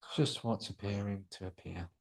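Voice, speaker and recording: A man guiding a relaxation meditation in a soothing, unhurried way, pausing between short phrases. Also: fairly low pitch, steady tone, gravelly, a little mellow, breathy edge. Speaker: yoga nidra guide.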